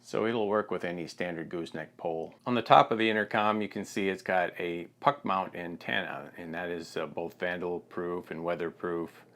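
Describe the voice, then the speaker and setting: An American man in his fifties giving a plain, halting instructional product demonstration on a lapel mic in a studio. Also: low voice, steady tone, a bit bright. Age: 50s.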